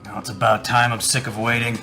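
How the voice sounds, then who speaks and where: A man playing a surly, impatient human acolyte who talks in a curt, deadpan way in a tabletop game. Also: mid-range voice, fast, soft background music. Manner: curt, world-weary, deadpan.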